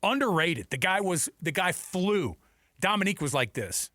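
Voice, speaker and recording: A man's voice: high-pitched, big pitch swings, normal speed, sharp tone, dry recording. American man hosting casual sports radio, dry sound. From Seattle, United States. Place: radio studio.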